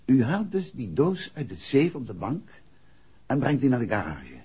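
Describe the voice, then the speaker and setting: Hese, beleefde mannelijke speurder in oud hoorspel. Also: male voice, medium pitch, huge pitch swings, darkish tone, slightly rough, no echo, exaggerated voice. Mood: courteous, reassuring. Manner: courteous, measured, articulate phrasing. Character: composed, courteous male private detective leading an investigation. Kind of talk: 1960s Dutch radio drama acting. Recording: dull, muffled vintage radio drama recording.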